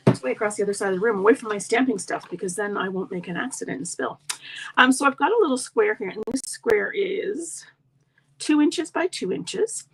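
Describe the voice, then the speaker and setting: A woman's voice: mid-range voice, noisy background. A middle-aged woman demonstrating card making in a live tutorial, chatty and friendly, with a slightly froggy voice. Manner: chatty, friendly asides to viewers.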